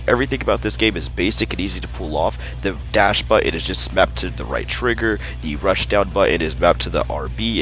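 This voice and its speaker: A young man talks through a fighting game review in loose, slangy gamer chat, and he sounds a little stuffed up and nasal from a cold. His voice sits low and deep. Faint music plays softly underneath. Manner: energetic, slangy gamer talk. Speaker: gaming YouTuber. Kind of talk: casual video game review.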